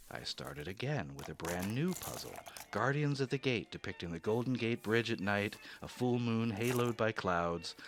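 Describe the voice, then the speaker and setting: A man's voice: on the low side, a bit bright. A man narrating his own story in a radio drama, anxious and growing obsessive.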